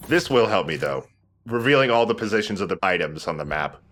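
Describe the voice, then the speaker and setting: A man's voice: on the low side, lively intonation, fairly quick, a bit bright, soft background music. A man giving casual, joking, chatty commentary while playing a video game. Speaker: let's play host playing the game.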